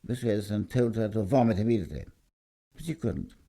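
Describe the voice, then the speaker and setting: A man's voice: lowish voice, fast, warm tone. A man reading a scripted short story aloud in a theatrical, emphatic storytelling manner. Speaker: story reader.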